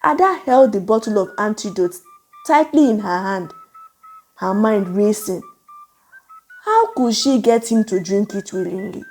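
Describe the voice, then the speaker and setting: A woman narrating a scripted folktale in a measured, suspenseful, dramatic way. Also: mid-range voice, expressive, a little mellow. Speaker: storyteller, narrator.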